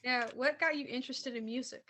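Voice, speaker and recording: Woman interviewer, high-ish voice, casual friendly questioner. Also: fairly even intonation, fairly quick, bright, forceful tone.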